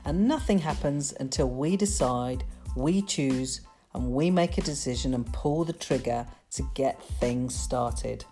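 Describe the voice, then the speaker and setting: A woman's voice: rumbling low, unhurried, mellow, soft background music. A woman hosting a self-development podcast, talking in an upbeat, chatty way and laughing often. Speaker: podcast host. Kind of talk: casual interview chat.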